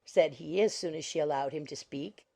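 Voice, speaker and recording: A woman narrates an audiobook from a script. Her voice is lowish and mellow, with a good deal of creak. Soft music plays underneath. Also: normal speed.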